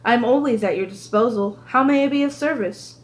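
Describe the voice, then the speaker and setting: A woman's voice: on the high side, a little fast, lightly acted. A woman voicing a mannerly, deferential grandson in a fantasy storybook, with courtly, very polite phrasing. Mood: courtly politeness. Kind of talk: audiobook character reading.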